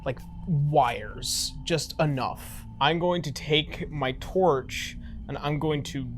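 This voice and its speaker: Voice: mid-range voice, slow pace. A tabletop role-playing player chatting casually in a blunt, sweary way. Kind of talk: casual game chat.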